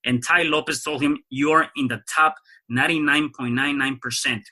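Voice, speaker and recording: A man's voice: fairly high pitch, steady tone, moderate pace, pushed and bright, slightly rough. A man hosting a podcast interview, upbeat, often repeating words as he starts a thought. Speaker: podcast host.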